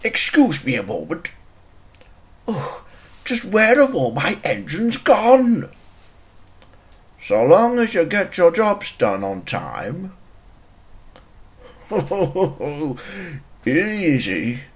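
A man voicing a small railway controller from a children's cartoon, a dry and chiding gentleman. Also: fairly high pitch, huge pitch swings, extremely slow, long pauses, heavily acted.

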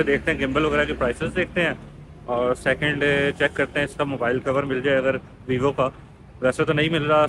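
A man in his thirties with a Pakistani Urdu accent, chatting casually and explaining things as he walks, recorded outdoors on a phone held at arm's length. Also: a little high. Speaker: vlogger. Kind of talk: casual walking vlog. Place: outdoors on a busy city street. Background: traffic noise.

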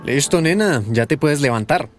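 An actor with a Colombian accent playing a measured, serious male doctor in a radio soap opera. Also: fairly high pitch, animated, faint music behind, lightly acted. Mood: calm, professional. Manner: measured, explanatory. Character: calm, serious male gynaecologist.

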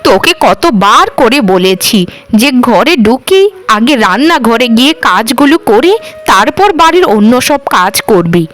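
A woman voicing an elderly lady of the house in a cartoon, nagging but fond, with drawn-out phrases. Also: fairly high pitch, sing-song, a bit bright, soft background music. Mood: scolding. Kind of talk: cartoon voice acting.

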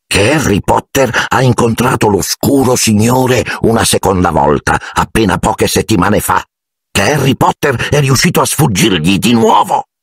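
A tiny house-elf, pitched far above a man's normal talk, with a squeaky, hoarse edge. He is servile, emotional and anxious, swooping about as he pleads in a storybook reading. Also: expressive, moderate pace, no room sound, studio quality. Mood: grave, hushed. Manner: speaks of himself in third person, deferential.

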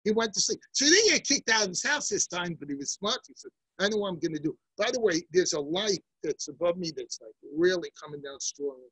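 Older man teaching, husky voice. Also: sits high, a little fast, few pauses, no echo, poor audio. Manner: lively storytelling with big hand gestures and asides. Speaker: Talmud teacher. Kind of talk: daily Talmud class, reading and explaining. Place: indoor study room lined with bookshelves. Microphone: laptop webcam, seated close to the screen.

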